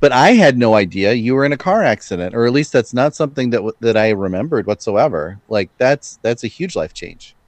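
A man's voice: medium pitch, animated, fairly quick, few pauses, dry recording. A man of about forty hosting a podcast, speaking casually with many ums and uhs. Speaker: podcast host.

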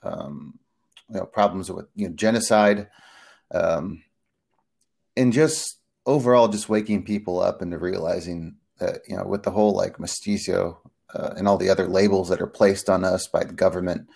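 A man's voice: sits low, moderate pace, gravelly, a little mellow, slightly rough. A young man from Peru, a podcast guest, talking earnestly with many fillers. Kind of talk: casual interview chat.

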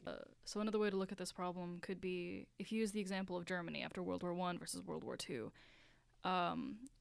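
A woman with a gravelly, slightly low voice explains a point in a casual group discussion. She speaks in a measured way, and the sound is dry and close. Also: fairly even intonation, dry recording. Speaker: discussion participant.

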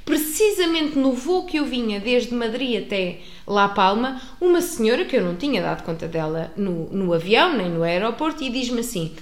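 A woman with a European Portuguese accent telling a personal travel story to an audience, expressive, with many restarts. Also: fairly high pitch, normal speed, hardly any pauses. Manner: expressive, acts out dialogue, frequent restarts. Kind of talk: animated storytelling. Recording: roomy echo.

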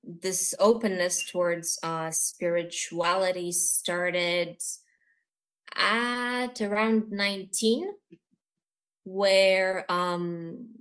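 A woman's voice: lowish voice, fairly even intonation, very slow, fairly bright tone. A woman from Romania, talking reflectively and hesitantly as a guest on a podcast. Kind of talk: reflective personal talk.

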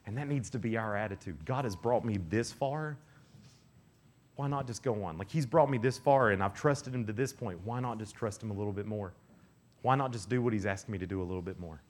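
A man's voice: lowish voice, fairly quick. A young man giving a casual, joking sermon to teenagers at a youth camp, often acting out little voices. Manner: animated, joking, acts out quoted voices.

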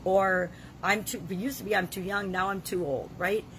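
A middle-aged woman with a gravelly voice from a cold, leading a self-help challenge in an earnest, conversational way. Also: mid-range voice, fairly even intonation, moderate pace, a bit bright, a little hiss or hum, poor audio. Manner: earnest, conversational, with frequent restarts. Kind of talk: motivational talk to camera.

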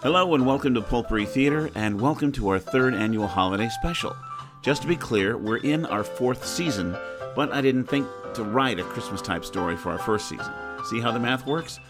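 A man's voice: medium pitch, expressive, a little fast, music in the background. A man with an American accent hosts an audio drama show, giving a scripted introduction. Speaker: host and announcer.

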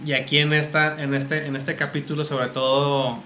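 A man's voice: on the high side, level tone, moderate pace, sharp tone, slight background noise. A man with a Mexican accent chatting casually and explaining things at length, often restarting his sentences. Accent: Mexican Spanish. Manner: explanatory, stumbles and restarts while building a point. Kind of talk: casual chat about an anime series.

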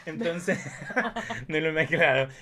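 A man's voice: a little high, animated, slow, pushed and bright, a little hiss or hum. A man with a Chilean accent co-hosting a podcast in casual, confessional chat, playful and campy, sometimes dropping in English phrases. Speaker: podcast co-host.

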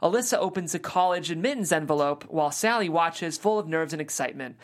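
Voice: mid-range voice, lively intonation, fast talker. Screenplay narrator reading directions.

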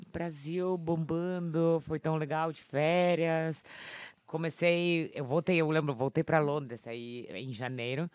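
A woman's voice: deep voice, dry recording. A woman guest in casual conversation, telling her story in a chatty way with lots of fillers and acted-out quotes. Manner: chatty, many fillers, acts out quotes with laughter.

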